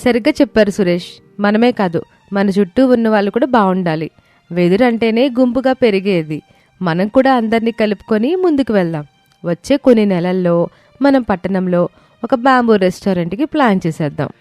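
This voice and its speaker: A resourceful young daughter-in-law played by a woman in a moral story. Her voice is soft-edged and mellow at a middle pitch, encouraging in manner, over music. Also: animated, fairly quick, no room sound, close to an everyday voice. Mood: upbeat. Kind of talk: moral story character acting.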